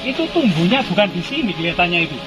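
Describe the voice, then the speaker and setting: Man, high voice, explanatory on-site commentary, repeated phrases, loud background music. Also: sing-song, rapid-fire, poor audio. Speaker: host and guide explaining ancient sites.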